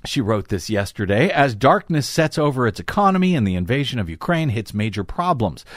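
A man's voice: medium pitch, dry recording, clean studio sound. A man hosting a talk program, reading news excerpts aloud and commenting on them in an emphatic way. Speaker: talk show host and commentator.

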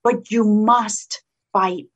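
A woman with a slight Colombian Spanish accent, a state senator speaking as a guest in a radio interview, animated and emphatic, laughing often. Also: mid-range voice, drawn-out words, breathy edge. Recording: dull lo-fi line.

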